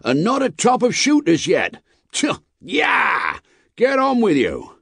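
A rough, impatient storybook coachman, pitched far up and loud. He scolds in rustic exclamations, with big swoops in the voice. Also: expressive, brisk, no echo. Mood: vexed. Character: vexed, impatient stagecoach driver, rough and loud. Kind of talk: dramatised audiobook character.

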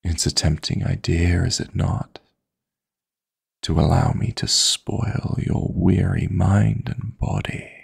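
A man voicing a possessive, flirty yet tender dream demon lover in an intimate ASMR sleep-aid roleplay, coaxing in a husky, breathy voice with formal endearments. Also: low-pitched, slow, full character voice. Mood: seductive, soothing. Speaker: audio roleplay performer.

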